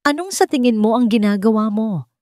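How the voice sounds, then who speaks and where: A woman's voice: fairly high pitch, expressive, fairly quick, a little mellow, dry recording, studio quality, pitched above the performer's own voice, natural voice. A woman voicing a scheming, venomous wealthy wife in an audio drama, switching between fake sweetness and menace. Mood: venomous, threatening. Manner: fake cheer turning to hissed threats.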